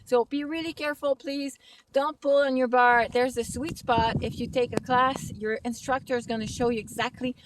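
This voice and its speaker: A woman with a French Canadian accent giving a casual kitesurfing lesson outside on a snowy lake, speaking slightly halting English. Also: on the high side, fairly even intonation, far from the mic, noisy background. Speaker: kitesurfing instructor. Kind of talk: outdoor tutorial vlog. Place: snowy lake outdoors in winter.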